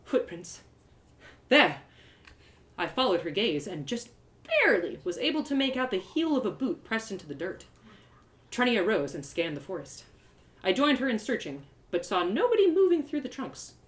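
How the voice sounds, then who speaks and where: A woman's voice: medium pitch, normal speed. A woman reading a fantasy story aloud live, narrating in the first person with dramatic expression. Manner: dramatic, animated.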